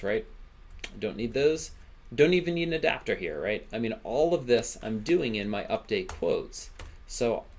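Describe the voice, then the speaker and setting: A man giving a software tutorial as an instructor, thinking aloud casually and checking in with "right?". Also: fairly low pitch. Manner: casual, informal explaining, often ends phrases with "right?".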